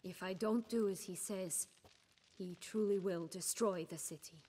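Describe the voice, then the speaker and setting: A woman's voice: mid-range voice, a little slow, dark tone, husky, in a large hall. A woman playing a guarded, proud noblewoman in a video game cutscene. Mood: defiant, resolved. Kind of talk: cinematic video game dialogue.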